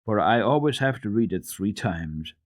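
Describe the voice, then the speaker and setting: A man's voice: lowish voice, lively intonation, normal speed, dry recording, clean studio sound, close to an everyday voice. A man voicing an earnest, devout, trusting little girl in a solemn, simple and assured way, for a storybook reading. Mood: earnest, comforting.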